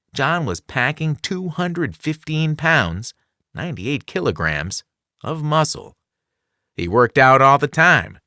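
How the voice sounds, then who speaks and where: A man reading a children's nonfiction book aloud as scripted narration, enunciating clearly with a dramatic storytelling delivery. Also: mid-range voice, bright, forceful tone, no echo, clean studio sound. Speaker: audiobook reader.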